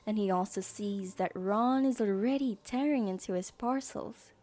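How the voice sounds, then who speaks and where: A woman's voice: mid-range voice, moderate pace, mellow. A woman narrating a scripted audio drama as its storyteller.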